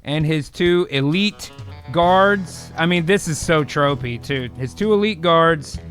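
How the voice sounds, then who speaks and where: A man working as a voice actor, narrating an improvised comedic radio drama in a casual, animated way. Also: a little high, slow, faint music behind.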